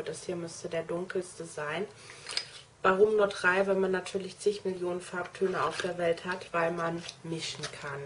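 A woman's voice: on the low side, slight background noise. A young woman chatting enthusiastically to her viewers in a casual show-and-tell vlog, addressing them directly. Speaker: make-up artist trainee showing her kit.

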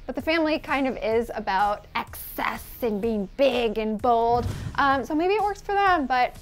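A woman's voice: a little high, expressive, moderate pace. A young woman with an American accent co-hosting fashion commentary in a casual, gushing, emphatic way. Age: young adult. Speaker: fashion show co-host. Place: indoor studio set. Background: music.

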